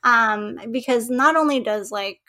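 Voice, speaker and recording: A woman with a soft, girlish voice, speaking as a podcast guest in casual chat, hesitant with many fillers and restarts and frequent giggles. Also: fairly high pitch, a little slow. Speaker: podcast guest, web designer.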